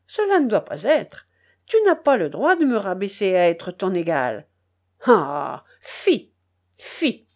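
A woman's voice: mid-range voice, very animated, slow, full character voice. A woman voicing an angry, domineering grown man who calls himself a prince, in a scolding tirade from a storybook reading. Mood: indignant.

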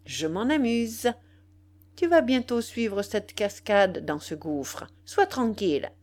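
A woman's voice: medium pitch, sing-song, takes it slowly, darkish tone. A woman voicing a vengeful, gloating male dwarf sorcerer in an audiobook, with theatrical, commanding emphasis. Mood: gloating, menacing. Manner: theatrical, emphatic, cursing with Spanish words mixed in.

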